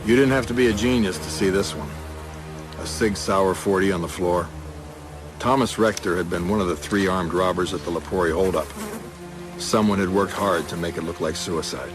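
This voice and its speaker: A seasoned male detective played by an actor narrates and talks in a hard-boiled audio drama. His voice is deep, his delivery terse, dry and world-weary. Music plays prominently under him. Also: fairly even intonation, moderate pace, natural voice. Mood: dry, sceptical. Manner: clipped, matter-of-fact. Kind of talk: hard-boiled crime radio drama with first-person narration. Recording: music behind the voice.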